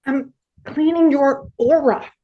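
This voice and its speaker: A woman's voice: high-pitched, steady tone, takes it slowly, dull audio. A woman playing a quirky, spacey, earnest young woman in a sitcom script read.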